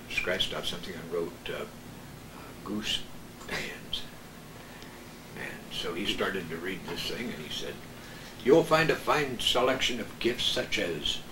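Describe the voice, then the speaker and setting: Deep-voiced older man in a roomy, noisy recording. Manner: halting, hesitant storytelling. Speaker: veteran local radio broadcaster. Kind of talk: casual reminiscing, anecdote telling. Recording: roomy, distant mic, noisy background.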